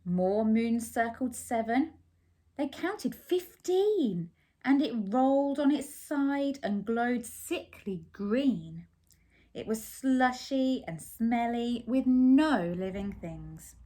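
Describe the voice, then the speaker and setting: A woman's voice: a little high, animated, slow pace, mellow. A woman reading a picture book aloud expressively, putting simple questions and explanations to young children. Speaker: children's story reader.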